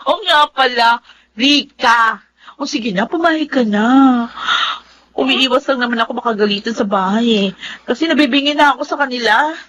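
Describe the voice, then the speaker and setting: Actress doing stubborn young filipina daughter in radio drama, really high whiny voice, dismissive. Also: female voice, moderate pace, fairly bright tone, a little hiss or hum, natural voice, rough recording. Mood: coaxing. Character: stubborn, flippant young woman, defiant daughter Myla.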